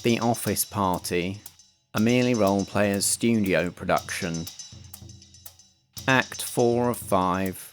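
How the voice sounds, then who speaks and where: A man's voice: fairly low pitch, takes it slowly, pauses often. A man giving a scripted, theatrical introduction as compere of a roleplay show. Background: music.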